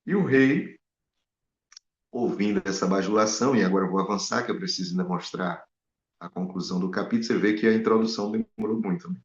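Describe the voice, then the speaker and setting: Animated preacher in an echoey room. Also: male voice, fairly low pitch, fast, vocal fry. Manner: animated, rhetorical questions to the audience. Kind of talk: Bible study sermon. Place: in Spain. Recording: echoey, dull lo-fi room sound.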